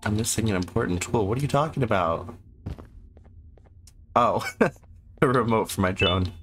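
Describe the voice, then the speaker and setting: A sick, hoarse man casually commenting on a game he plays, rambling and sarcastic as he reads the game text aloud. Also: lowish voice, moderate pace, background noise. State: sick, losing his voice. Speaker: gameplay commentator. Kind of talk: casual let's-play commentary.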